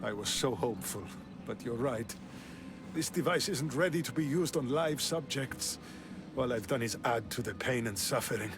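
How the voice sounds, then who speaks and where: An actor playing an earnest, articulate, idealistic scientist prince from an ancient advanced race in a video game drama. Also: male voice, medium pitch, animated, normal speed, background noise, natural voice. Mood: regretful. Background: music.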